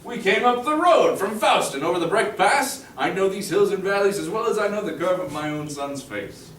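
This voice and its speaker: A man's voice: high voice, animated, normal speed, fairly bright tone. Man playing a boastful old marksman hero. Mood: proud. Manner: boastful, declamatory. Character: proud aging male marksman hero, boastful. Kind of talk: table read acting. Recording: roomy room, distant mic, faint hiss.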